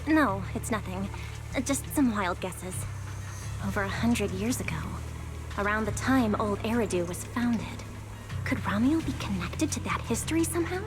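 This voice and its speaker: An actress playing a thoughtful, inquisitive young woman in a video game, speaking in a reflective, halting way. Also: mid-range voice, expressive, natural voice, low-quality recording. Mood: musing. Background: game music.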